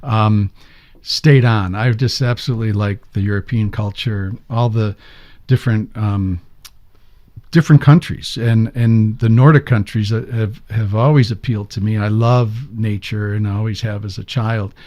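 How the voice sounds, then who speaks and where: An American man in his sixties from upstate New York, a podcast guest telling relaxed stories and laughing between them. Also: fairly low pitch, moderate pace. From upstate New York, USA. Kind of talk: conversational storytelling.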